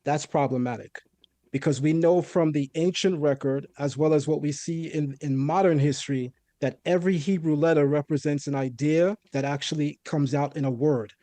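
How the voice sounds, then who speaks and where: A man raised in a Caribbean home explains a point of language step by step, often checking in with "right?". His voice sits fairly high but sounds mellow and dark. The sound is dry and close. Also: moderate pace, dry recording. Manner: lecturing, methodical, rhetorical "right?". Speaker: guest debater. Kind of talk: explanatory debate on Hebrew.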